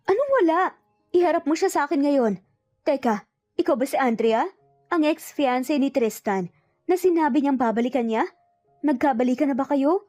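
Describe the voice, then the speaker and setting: Haughty, jealous young heiress, played by a female narrator, high-voiced and confrontational, for romance audio drama. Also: expressive, moderate pace, long pauses, darkish tone, soft background music. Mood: demanding. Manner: demanding, indignant, confrontational. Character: haughty, angry rich young woman, jealous rival.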